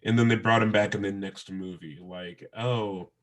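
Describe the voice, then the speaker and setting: A man co-hosting a podcast in casual chat, joking with a deadpan delivery. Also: on the low side, moderate pace. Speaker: podcast co-host.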